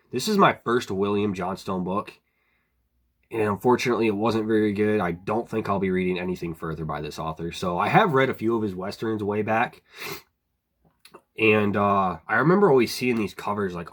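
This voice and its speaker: A man with a lowish, slightly hoarse voice, just getting over a cold. He reviews horror books in a relaxed, rambling way, full of "like" and "um", and clears his throat now and then. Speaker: book reviewer. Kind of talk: casual book review vlog.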